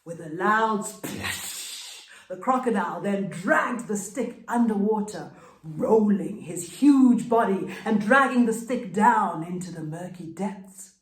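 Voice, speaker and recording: A woman storyteller narrating a scripted folktale with dramatic, deliberate, expressive phrasing. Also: mid-range voice, echoey room, poor audio.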